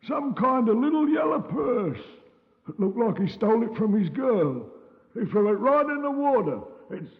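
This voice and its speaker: An actor playing an ancient, superstitious country cider maker in 1950s radio style, chatty and halting. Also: male voice, extremely high pitch, very animated, exaggerated voice. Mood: chatty, folksy. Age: older. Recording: tinny old radio recording, cavernous reverb.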